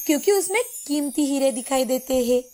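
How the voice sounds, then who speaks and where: A woman's voice: high voice, expressive, brisk, warm tone, faint music behind. A woman narrating a scripted moral story as the storyteller.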